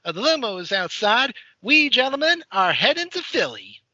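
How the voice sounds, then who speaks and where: A man playing a bossy, sharp-voiced fellow giving orders in an audio play. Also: extremely high pitch, wildly swooping pitch, normal speed, no echo, exaggerated voice. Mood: smug, brisk. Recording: thin, lo-fi dry sound.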